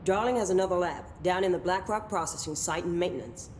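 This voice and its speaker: A woman's voice: lowish voice, fast, slight background noise, natural voice. A woman playing a stern, pragmatic middle-aged head of operations in a video game, commanding and businesslike. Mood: firm. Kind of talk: video game dialogue acting.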